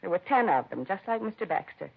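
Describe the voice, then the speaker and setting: A woman's voice: mid-range voice, very animated, quick pace, gravelly, husky, full character voice. An actress playing an earnest, indignant woman who runs a children's home in a 1950s radio drama, plain-spoken and heartfelt. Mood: earnest, concerned. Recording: dull vintage radio recording.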